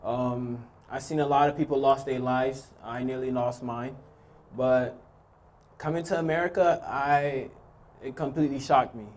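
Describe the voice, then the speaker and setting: A young man from Haiti nervously telling his own story to an audience, with many pauses and ums. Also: mid-range voice, fairly even intonation, darkish tone. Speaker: youth speaker sharing his story. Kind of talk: personal testimony speech.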